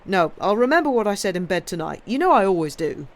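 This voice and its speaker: A reader voicing a jovial, blustering middle-aged husband with a loud, hearty manner. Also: medium pitch, very animated, fast talker. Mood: boastful.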